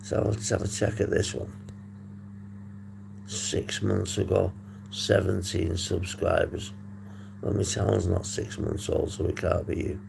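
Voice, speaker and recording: A man hosting a casual livestream, hoarse and husky, rambling and swearing as he reads the chat. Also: sits low, steady tone, measured pace, long pauses. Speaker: livestream host. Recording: noisy background hum.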